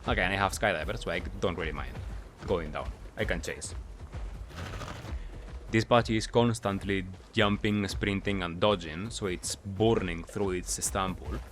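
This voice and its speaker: Low-voiced man explaining gameplay, noisy background. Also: fairly bright tone. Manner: halting, self-correcting, asks and answers own questions. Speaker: gamer, video commentator. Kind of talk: explanatory gameplay commentary, casual.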